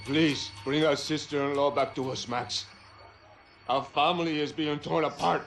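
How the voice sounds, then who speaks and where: A man's voice: fairly high pitch, steady tone, moderate pace, vocal fry, fairly bright tone, faint music behind. An actor playing a grieving, pleading man in a video game drama, earnest. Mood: pleading, troubled. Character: grieving, pleading wealthy Brazilian brother.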